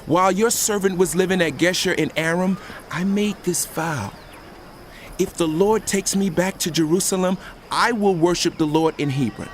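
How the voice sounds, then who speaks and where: An actor playing a proud, ambitious young prince in an audio scripture drama, insistent and declamatory. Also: male voice, high voice, normal speed, a little hiss or hum, lightly acted. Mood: earnest.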